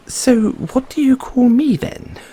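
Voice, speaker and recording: A man's voice: very high-pitched, dark tone, husky, heavily acted. A man playing an earnest, cheery merman prince in an audio roleplay, speaking in a theatrical, exclamatory way with genteel, fussy politeness. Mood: puzzled, indignant. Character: young, cheery, dramatic, naively earnest merman prince of Atlantis. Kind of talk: audio roleplay addressed to the listener.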